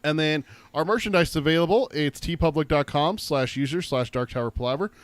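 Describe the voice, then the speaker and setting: A man co-hosting a casual podcast, listing links and social accounts in a brisk, matter-of-fact way, just getting over a cold. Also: on the high side, no room sound. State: recovering from a cold, coughing. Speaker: podcast co-host.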